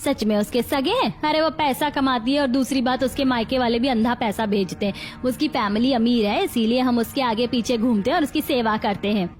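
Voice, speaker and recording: A woman playing a greedy, flattering middle-aged mother-in-law in an acted family story, chatty and coaxing. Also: on the high side, fairly quick, hardly any pauses, faint music behind, lightly acted. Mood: scornful.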